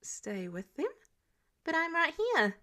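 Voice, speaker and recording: A dazed teenage boy, played by a woman in an audiobook. He sounds childlike and whiny, his voice lifting high as he takes things literally. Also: big pitch swings, slow, sharp tone, heavily acted. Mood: confused, groaning. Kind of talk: audiobook character dialogue.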